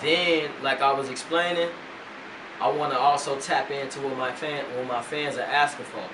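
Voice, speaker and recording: A young male rapper chats easily and loosely, filling his talk with slang. He is picked up from some way off in an echoey room, and a steady hum sits under his voice. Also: medium pitch, normal speed. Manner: laid-back, loose slang with "man" fillers. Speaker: rapper guest. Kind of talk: casual interview chat. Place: radio studio. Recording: roomy, distant mic, noisy hum.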